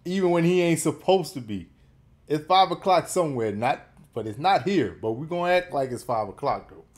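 A man's voice: fairly high pitch, expressive, moderate pace. A man in his thirties hosting a reaction video at home, chatting in a casual, slangy way and chuckling often. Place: small room at home, indoors. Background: faint song playing.

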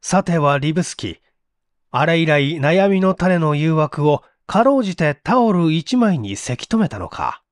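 Animated male mystery narrator. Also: on the high side, unhurried, no echo, studio quality. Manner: theatrical, suspenseful. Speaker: audiobook narrator, stage actor. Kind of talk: dramatic scripted mystery narration.

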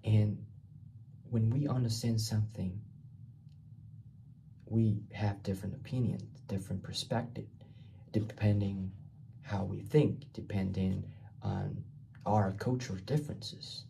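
A young man from Taiwan, a student recording a reading response for class, talking haltingly and repeating words while he searches for them, on a webcam in a small bare room. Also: fairly low pitch, fairly even intonation, gravelly, warm tone, slight background noise, poor audio. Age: young adult. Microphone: laptop webcam mic, framed from below.

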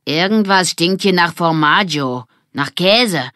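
A woman voicing an outspoken, sharp-tongued girl in a children's story, emphatic and accusing. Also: on the low side, lively intonation, takes it slowly, no echo, clean studio sound. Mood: scornful. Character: outspoken, sharp-tongued girl who mixes in Italian words.

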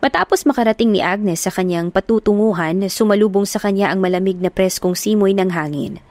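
A woman narrating a romance audiobook in a steady, earnest storytelling manner, recorded on a close mic. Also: mid-range voice, fairly quick, no room sound.

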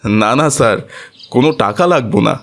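A man voicing a humble, loving poor father in a cartoon, gentle and plain-spoken with a colloquial Bangladeshi accent. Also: lowish voice, brisk, natural voice. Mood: humble, pleading. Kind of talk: animated cartoon dialogue.

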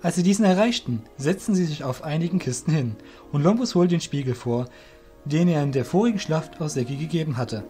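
A man's voice: on the high side, lively intonation, brisk, warm tone, soft background music. A man reading scripted fantasy story narration as the narrator of an audio drama.

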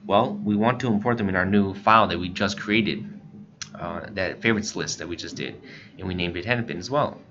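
Casting: American male tutorial narrator, lowish pitch, casual instructional delivery, slightly lo-fi sound. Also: a little fast. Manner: informal, step-by-step explaining. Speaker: instructor, scanner shop staff. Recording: muffled lo-fi voice-over, faint background noise.

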